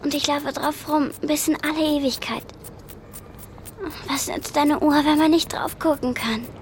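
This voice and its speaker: A woman's voice: high voice, steady tone, unhurried, slight background noise. An actress playing a prim, plucky nine-year-old refugee girl, polite and direct, in a radio drama. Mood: fretful.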